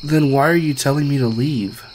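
A man voicing a sardonic, self-deprecating pony stallion on the run in an animated comic dub, with wry, theatrical asides. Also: medium pitch, moderate pace, a little hiss or hum, natural voice, rough recording. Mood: insistent.

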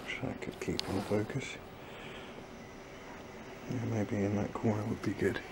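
A man's voice: on the low side, little pitch movement, measured pace, vocal fry. A man chatting casually to camera as he plants in his backyard, musing and second-guessing himself, often trailing off. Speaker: gardening vlogger. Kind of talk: casual thinking-aloud narration while gardening. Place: small backyard garden outdoors. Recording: noisy outdoor ambience.

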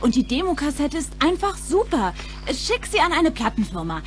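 An actress playing a supportive teenage girl in a German radio play, gentle and encouraging in manner. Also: sits high, very animated, moderate pace, faint music behind.

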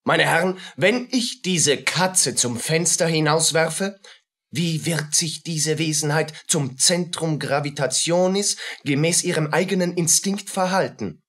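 An actor playing a callous, pompous doctor who declaims pedantically to students in a German radio play. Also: male voice, a little high, measured pace, a bit bright. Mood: pompous, lecturing.

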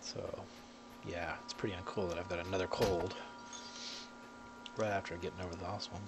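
A man's voice: low voice, little pitch movement, moderate pace, very noisy. A congested man with a cold, chatting casually and muttering to himself while playing a fantasy game. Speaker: gameplay commentator. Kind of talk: casual gameplay commentary.

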